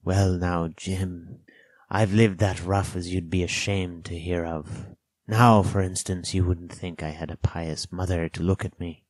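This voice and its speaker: Man voicing a half-crazed marooned old sailor, slow and drawn-out. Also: low voice, fairly even intonation, no room sound. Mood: rambling, confiding. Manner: rustic sailor's dialect, drawn-out words, sudden bursts.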